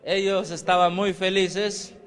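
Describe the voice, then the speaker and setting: A man's voice: high voice, level tone, takes it slowly. A man interpreting a sermon phrase by phrase, with filler sounds and short asides. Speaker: interpreter and preacher.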